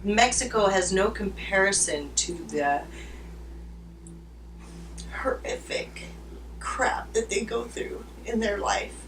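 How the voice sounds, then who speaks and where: Slow-spoken woman recorded from a distance. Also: medium pitch, animated, a bit bright, roomy sound. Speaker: volunteer. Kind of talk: documentary interview. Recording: distant mic with faint noise.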